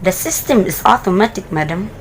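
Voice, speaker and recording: A woman's voice: medium pitch, expressive, a little fast, slight background noise, close to an everyday voice, low-quality recording. A woman playing a polite, impassive switchboard operator in an amateur radio play, speaking formally. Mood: polite, detached. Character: polite, unhelpful female telephone switchboard operator.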